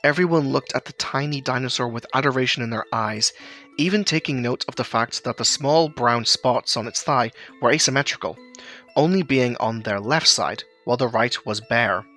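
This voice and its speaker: A man's voice: medium pitch, moderate pace, sharp tone, faint music behind. A man reading scripted narration for an audio drama as its storyteller.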